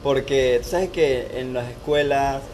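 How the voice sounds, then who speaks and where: A man's voice: medium pitch, measured pace, darkish tone, slight background noise. A man, chatting casually and telling personal stories as an interview guest. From Panama, born in Costa Rica. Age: 20s. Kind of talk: casual conversation, personal storytelling.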